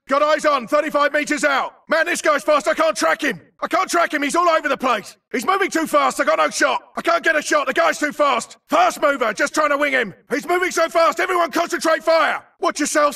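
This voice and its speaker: An actor playing a tough, aggressive infantry grunt in a video game, barking clipped, urgent military callouts. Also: male voice, very high-pitched, creaky, recorded from a distance, exaggerated voice. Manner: clipped, urgent, forceful delivery. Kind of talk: military combat callouts, short shouted barks.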